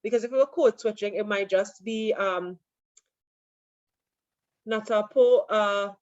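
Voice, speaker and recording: A woman lecturer giving an online class, relaxed and full of fillers as she answers questions. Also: mid-range voice, unhurried, dry recording. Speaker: university lecturer. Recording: dull lo-fi online audio.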